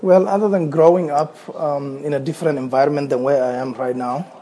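A man in his thirties with a Somali accent speaks in a slightly high, mellow voice. He reads and talks at an unhurried, hesitant pace, often stopping to restart or repeat a word. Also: steady tone. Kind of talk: reading a passage aloud, then unscripted talk.

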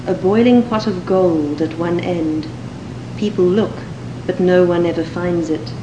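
A woman with a Cape Town South African accent, reading a set passage and then talking in a measured, thoughtful way with the odd um. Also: lowish voice, lo-fi sound. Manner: measured and thoughtful, with occasional ums. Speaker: dialect archive speaker. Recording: echoey room with steady background hiss.

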